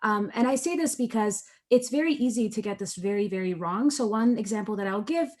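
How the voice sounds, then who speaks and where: A woman's voice: medium pitch, animated, brisk, a little mellow. A woman from Ethiopia, a researcher speaking as a panelist in an online discussion over a video call, earnest and conversational, often checking in with "right?".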